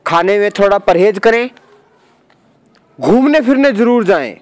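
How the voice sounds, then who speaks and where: Male tutorial presenter, high voice, emphatic and repetitive delivery, dry sound. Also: lively intonation, measured pace, no echo. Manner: emphatic, repeats phrases for stress. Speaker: presenter of home remedy tutorial. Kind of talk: instructional voice-over explanation.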